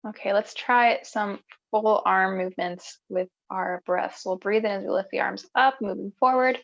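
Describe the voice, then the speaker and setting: A woman yoga instructor guiding relaxation in a calm, soothing, unhurried way with long pauses, in a slightly dull recording. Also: medium pitch, vocal fry.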